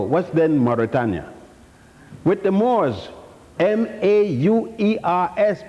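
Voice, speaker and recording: A man's voice: sits high, darkish tone. An older man from Ethiopia giving a public history lecture, blunt and defiant, rambling with many restarts. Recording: echoey hall, dull lo-fi sound.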